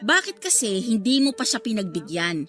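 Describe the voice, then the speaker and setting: A woman's voice: mid-range voice, very animated, normal speed, a bit bright, faint music behind. A woman playing a blunt, irritated friend who scolds and confronts in a Filipino radio drama. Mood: irritated, accusing.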